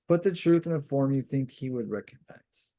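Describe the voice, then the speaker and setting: A man reading the part of a calm, insistent woman guide from a novel, in an instructive, assured way. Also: medium pitch, normal speed, lightly acted. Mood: urging.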